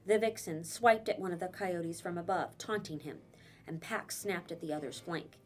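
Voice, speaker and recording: Expressive woman reading a children's story, music behind. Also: on the low side. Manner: dramatic, with emphatic phrasing and pauses. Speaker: teacher reading aloud.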